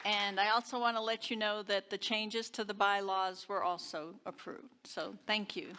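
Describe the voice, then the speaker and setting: A woman's voice: mid-range voice, steady tone, moderate pace, crowd noise, noisy background. A woman leading a church service, speaking to the congregation in prayer and announcements.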